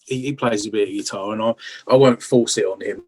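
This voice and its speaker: A middle-aged man talks casually as a guest in an interview. His pitch stays fairly flat, and his delivery is low-key and hesitant, piecing thoughts together as he speaks. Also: brisk. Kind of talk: casual chat.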